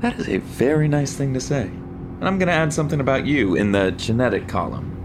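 A wry, flirtatious woman on the run in an audio drama. Her voice is very deep and lively in its rise and fall, over clear car noise. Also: a little fast. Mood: flirty, amused. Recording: noisy moving-car sound scene.

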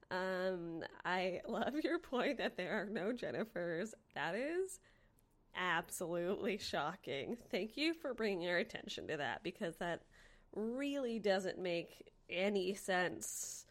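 A woman comments casually and with enthusiasm. Her voice is on the low side and swings widely in pitch, while her pace stays slow and unhurried. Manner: enthusiastic, appreciative.

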